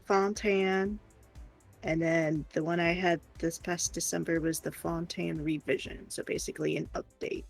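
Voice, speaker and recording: A woman with a creaky voice chatting casually as co-host of a livestream, wry and playful. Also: lowish voice, level tone, unhurried, soft background music. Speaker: streamer's wife and co-host.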